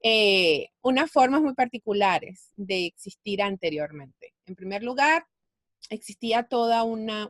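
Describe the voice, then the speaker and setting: A woman's voice: mid-range voice, dry recording, clean studio sound. A woman from Venezuela, explaining her ideas reflectively in a conversational interview. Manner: explanatory, reflective, thinks aloud. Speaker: guest, finance speaker.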